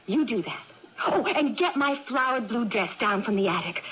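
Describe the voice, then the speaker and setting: An actress playing a merry, headstrong, restless young farm woman in a vintage radio drama, excitable and impatient. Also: a little high, normal speed. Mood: impatient, eager. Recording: muffled noisy old radio broadcast.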